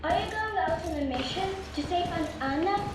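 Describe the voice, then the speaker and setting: Very high-voiced small child. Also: female voice, lively intonation, moderate pace. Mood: earnest, innocent. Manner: earnest, childlike. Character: small, sweet child saying goodbye to uncle. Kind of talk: dramatic video game acting. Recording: roomy echo, music behind.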